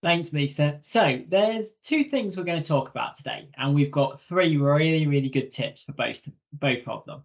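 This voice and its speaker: A man's voice: a little high, normal speed, hardly any pauses. Man presenting, slightly dull audio. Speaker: software trainer co-presenting a webinar. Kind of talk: informal instructional presentation.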